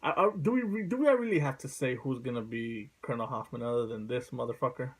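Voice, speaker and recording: A man's voice: medium pitch, fast, breathy edge, rough recording. Casual man, profane and thinking aloud. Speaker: video host giving opinions. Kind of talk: casual opinion vlog.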